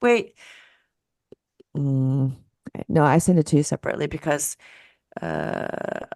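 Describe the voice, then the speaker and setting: Low, gravelly woman explaining on a video call. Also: takes it slowly, a little mellow, no echo, clean studio sound. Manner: thoughtful, searching for words as she explains. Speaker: guest speaker, musicologist and gayageum player.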